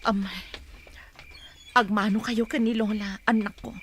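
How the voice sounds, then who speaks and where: A woman's voice: mid-range voice, level tone, a little slow, very noisy, close to an everyday voice. A woman playing a hurt but steadfast mother in an Ilocano radio drama, counselling her children earnestly. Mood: hurt, subdued.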